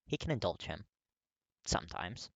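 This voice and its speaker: A narrator reading fiction aloud in an expressive, emphatic audiobook style. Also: low voice, moderate pace, gravelly, no room sound. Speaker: podfic narrator.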